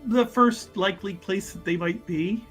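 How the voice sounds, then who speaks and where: A man's voice: sits high, moderate pace, over music. A man casually describing his character's moves as a tabletop game player, repeating words as he thinks. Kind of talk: casual improvised game talk.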